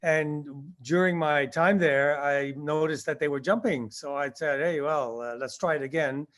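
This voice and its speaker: A man in his sixties, born in Indonesia, answering interview questions about his past and recalling it haltingly with many fillers. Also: on the high side, fairly bright tone. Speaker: guest, skydiving instructor.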